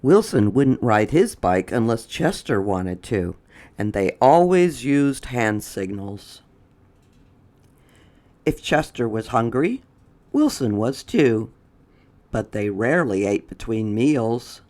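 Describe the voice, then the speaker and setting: A storyteller narrating a children's picture book in a deliberate, expressive way. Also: medium pitch.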